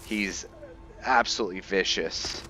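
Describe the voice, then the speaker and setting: A man with a fairly low, bright voice commentates casually on his roleplay game. He talks slowly and haltingly, musing aloud as he tells the story, with faint music behind him. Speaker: host of a game roleplay series. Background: background music.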